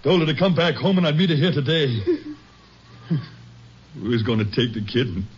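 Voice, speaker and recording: An actor playing an agitated young husband and small-time bootlegger in a 1950s radio drama, with a husky voice. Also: male voice, fairly high pitch, animated, moderate pace. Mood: shaken, confessing. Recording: muffled vintage radio recording.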